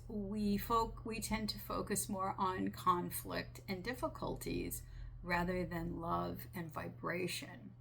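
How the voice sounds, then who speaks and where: A woman channeler talking gently and earnestly, her voice raspy from a sinus infection. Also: on the low side, a little hiss or hum. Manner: slow, gentle, earnest with small restarts. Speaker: spiritual channeler. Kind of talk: relaxed monologue relaying a channeled message.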